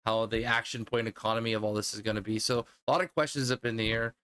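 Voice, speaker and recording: A man's voice: fairly low pitch, steady tone, fast talker, fairly bright tone, smooth. A man chatting casually as a podcast host, with loose phrasing that circles a little before landing. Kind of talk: casual conversation.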